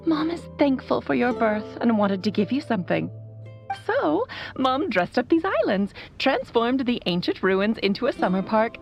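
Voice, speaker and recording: A woman's voice: on the high side, a little mellow. A woman playing a loving, whimsical mother in a video game, speaking in a tender, lyrical, theatrical way. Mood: wistful, tender. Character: loving whimsical mother, long-lived mage, playful and wistful. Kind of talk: video game voice acting, storytelling monologue. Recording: slightly muffled, music behind. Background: background music.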